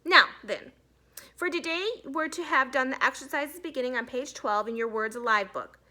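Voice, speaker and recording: A woman's voice: sits high, normal speed, sharp tone. A woman voicing a stern, authoritative female schoolteacher in a storybook, lecturing with emphasis. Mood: brisk.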